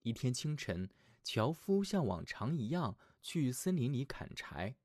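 A man narrating a scripted fairy tale for small children as their storyteller, in an expressive, affectionate manner. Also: mid-range voice, a little slow, no room sound, clean studio sound.

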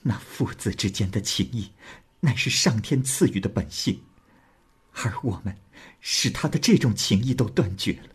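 A man's voice: lowish voice, expressive, moderate pace, breathy edge. A man voicing a remorseful young woman courtesan who speaks earnestly and pleadingly.

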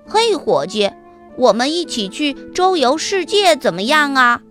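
A woman's voice: high-pitched, big pitch swings, a little slow, a bit bright, soft background music, voice pushed up, exaggerated voice. A woman voicing a brave, clever little male tailor in a children's fairy tale, breezy and boastful. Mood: cheeky.

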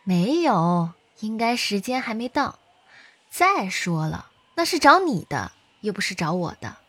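An actress plays a down-to-earth, teasing little sister in a radio drama. She has a husky mid-range voice that moves about in animated swings. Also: mid-range voice, unhurried, heavily acted.

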